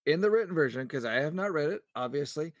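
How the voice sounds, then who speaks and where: A wisecracking man co-hosts a podcast in casual banter. His voice is slightly high, his humor dryly sarcastic, and the sound is close and free of echo. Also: animated, fast talker. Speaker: podcast co-host.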